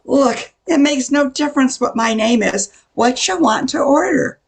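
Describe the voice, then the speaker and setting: A brassy roadside diner waitress, played by an older woman in an amateur radio play. She is blunt and quick to take offense, drawing her words out slowly in a highish, creaky voice. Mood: exasperated. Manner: sassy, blunt, indignant.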